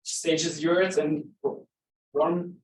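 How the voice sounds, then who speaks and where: A man introduces a guest speaker, hesitant and full of fillers. His voice sits a bit high for a man. He is picked up from a distance in an echoey room with faint background noise. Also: flat delivery, extremely slow. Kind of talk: introduction at a talk. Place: lecture room.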